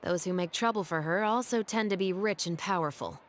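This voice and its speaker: An actress playing a dutiful, wary patrol captain in a game, speaking in a dry, measured, skeptical way. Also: female voice, fairly low pitch, a little hiss or hum, lightly acted. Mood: serious. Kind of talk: game cutscene voice acting. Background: game music.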